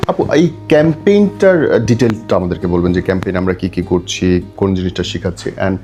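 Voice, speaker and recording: A man's voice: sits low, brisk, dark tone, soft background music. A man with a Bangladeshi accent conducting a conversational interview, interjecting and echoing as he goes. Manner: interjects and echoes the guest.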